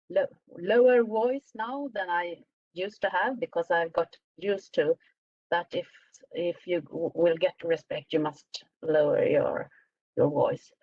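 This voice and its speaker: A Swedish woman's low voice comes through a dull, dry video-call line. She speaks English carefully as her second language, halting and filling gaps with "uh", like a seasoned executive on a panel. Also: no room sound. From Sweden. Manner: halting, searching for words with many "uh"s. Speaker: panelist, company CEO. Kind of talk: panel discussion.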